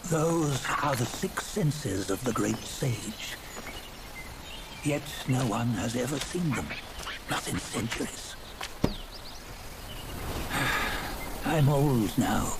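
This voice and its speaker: An actor playing a weary old sage, telling a legend slowly and gravely in a fantasy game. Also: male voice, mid-range voice, expressive, very noisy. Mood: weary, hopeful. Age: older. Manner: slow, measured, grave. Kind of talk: solemn scripted storytelling in a fantasy game.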